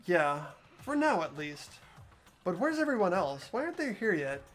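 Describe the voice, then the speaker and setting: An earnest teenage hero voiced by a man narrates his thoughts in a lively, expressive voice that rises and falls. His tone is anxious but determined, and he keeps to an everyday pitch. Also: normal speed, faint music behind. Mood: tense. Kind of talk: fan voice acting of a game.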